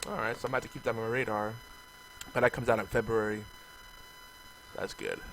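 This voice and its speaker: A man's voice: medium pitch, little pitch movement, fairly quick, fairly bright tone, a little hiss or hum, poor audio. Man losing his voice, casual gamer chat. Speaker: podcast co-host.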